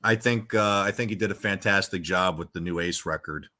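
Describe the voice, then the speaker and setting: Deep-voiced man chatting loosely. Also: brisk. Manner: relaxed, rambling with many restarts and fillers. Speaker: podcast guest, rock singer. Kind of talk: casual chat.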